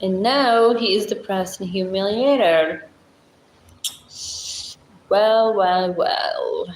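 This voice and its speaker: A Moroccan woman with a Moroccan accent, hosting a casual livestream with a blunt, chatty, deep-voiced way of talking and frequent laughs. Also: big pitch swings, drawn-out words, reverberant, low-quality recording. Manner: chatty, blunt, laughs often, thinks aloud. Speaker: livestream host. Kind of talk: casual livestream chat reading comments.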